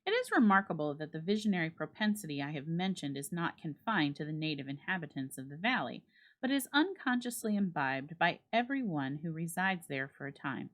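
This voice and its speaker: Female narrator, low register, for dramatic reading of classic fiction; measured and expressive with formal phrasing. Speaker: narrator reading a classic short story aloud.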